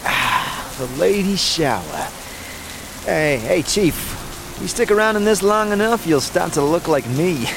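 A man's voice: fairly high pitch, big pitch swings, measured pace, gravelly, pauses often, pitched above the performer's own voice, heavily acted. A man voicing a wisecracking male floating skull who jokes and stammers when he backpedals. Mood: cheeky. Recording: heavy rain noise behind.

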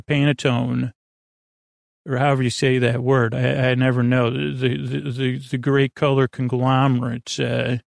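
A man's voice: mid-range voice, creaky, no room sound, studio quality. A man hosting a bedtime podcast, rambling in a friendly, meandering way, often trailing off and restarting. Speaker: podcast host.

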